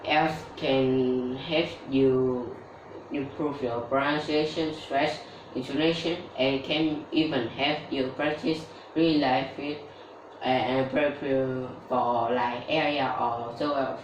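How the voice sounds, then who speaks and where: A woman giving a scripted tutorial on learning English with steady explanations, including a sponsor read. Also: rumbling low, takes it slowly. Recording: roomy echo, distant mic, faint background noise.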